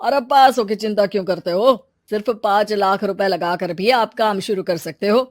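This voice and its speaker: A woman's voice: mid-range voice, moderate pace, dry recording, natural voice. A woman voicing a chatty, persuasive young male restaurant owner in a moral story.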